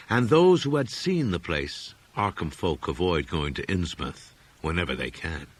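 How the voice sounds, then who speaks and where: Male audiobook narrator for gothic horror: low, husky voice, widely varied intonation, measured dramatic phrasing. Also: a bit bright.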